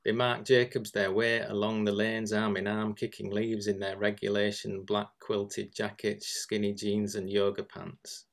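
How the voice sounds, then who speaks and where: A man's voice: fairly low pitch, monotone, a little slow, gravelly, hardly any pauses. A man reading short observational prose aloud from a script.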